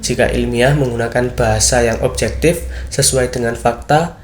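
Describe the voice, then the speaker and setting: A man's voice: mid-range voice, fairly even intonation, moderate pace, a little hiss or hum. Teenage boy giving a class presentation. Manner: earnest, with frequent fillers and restarts. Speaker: student presenter. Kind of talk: class presentation, explaining material.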